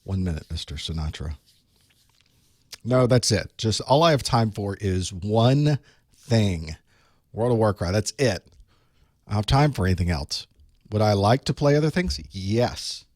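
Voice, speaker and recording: A man with a hoarse voice from a cold, chatting casually to his live stream audience while he sets up for an online class. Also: fairly low pitch, lively intonation, unhurried, pauses often, darkish tone, dry recording, studio quality. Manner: chatty, wry, talks to himself while setting up. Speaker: online course instructor. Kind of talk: casual pre-show chat to a live stream. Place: small studio desk.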